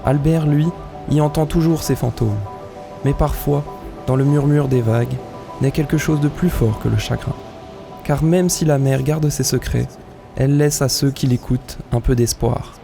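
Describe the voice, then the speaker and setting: A man narrating a scripted French sea tale in a solemn, measured, poetic manner. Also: mid-range voice, vocal fry, music in the background.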